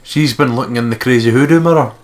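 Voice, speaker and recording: A man's voice: medium pitch, fast talker, background noise. A man co-hosting a let's play, mixing casual, sweary, joking banter with reading the game's text aloud.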